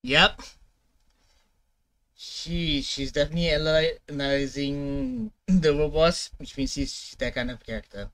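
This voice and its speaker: A young adult man giving casual, unscripted reaction commentary, often halting and repeating words, on a headset mic in a room at home. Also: fairly high pitch, lively intonation, a bit bright, slight background noise, low-quality recording. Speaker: reaction video host. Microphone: gaming headset mic.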